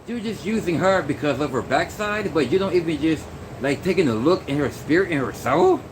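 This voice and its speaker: A man's voice: fairly high pitch, lively intonation, fairly quick. A young man talking in a loose, rambling vlog monologue, full of fillers and restarts. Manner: meandering, many fillers and restarts. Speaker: vlogger. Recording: noisy background hum.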